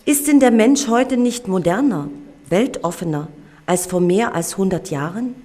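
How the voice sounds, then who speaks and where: A woman narrating a documentary in a measured, solemn reading voice. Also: medium pitch, animated, warm tone.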